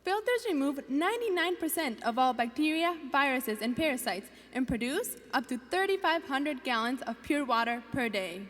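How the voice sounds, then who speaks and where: A woman from Ecuador recites her part of a team presentation in a careful, even way. Her voice is high. A long hall reverb trails each phrase. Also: normal speed, few pauses. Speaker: student presenter. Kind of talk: rehearsed competition presentation.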